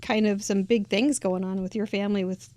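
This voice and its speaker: A middle-aged woman hosting a casual interview, chatty and quick to laugh, with a squeaky high voice. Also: fairly even intonation, dark tone, dry recording, studio quality. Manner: chatty, laughs often, trailing restarts. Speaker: host of a live crafting show.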